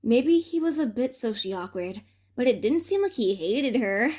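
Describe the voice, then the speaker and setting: A woman reading fan fiction aloud as a narrator, in an expressive audiobook style. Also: a little high, moderate pace.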